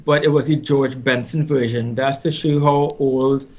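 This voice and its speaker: Middle-aged Trinidadian man, mid-pitched voice, unhurried and reflective, slightly muffled lo-fi audio. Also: steady tone, a little mellow. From Trinidad and Tobago. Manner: unhurried, reflective, repeats words as he thinks. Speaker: musician guest. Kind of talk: casual interview storytelling. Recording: slightly dull lo-fi sound.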